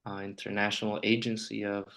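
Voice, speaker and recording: A man's voice: lowish voice, little pitch movement, brisk, creaky, fairly bright tone. A man from Honduras, a native Spanish speaker, answering conversationally as an interview guest. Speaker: podcast guest, nuclear trainer.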